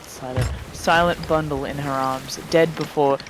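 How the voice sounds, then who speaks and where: Higher-voiced man reading aloud over noisy chat. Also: unhurried. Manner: sweary, breaks off mid-sentence to chat. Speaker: fan fiction reader on a live stream. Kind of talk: dramatic reading with casual asides to friends. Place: live-streamed group voice chat. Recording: noisy voice chat, steady background noise.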